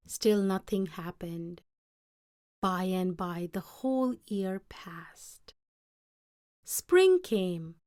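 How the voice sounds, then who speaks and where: A woman reading a picture book aloud in an expressive, deliberate storytelling manner. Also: medium pitch, no echo, studio quality.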